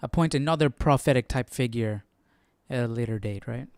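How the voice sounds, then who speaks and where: A man's voice: medium pitch, a little fast, creaky, smooth, no echo, studio quality. A man hosting a livestream study session, commenting in a casual, informal way and often checking in with listeners. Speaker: host of a Bible study livestream.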